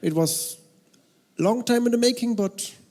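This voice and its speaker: Slow, high-voiced man in the audience. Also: darkish tone. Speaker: audience member. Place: convention hall audience.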